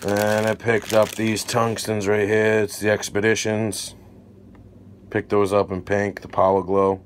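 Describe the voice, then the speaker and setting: A man's voice: fairly low pitch, little pitch movement, unhurried. A man casually narrating an unboxing vlog as the creator, indoors at a table. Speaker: fishing video creator. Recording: noticeable background noise.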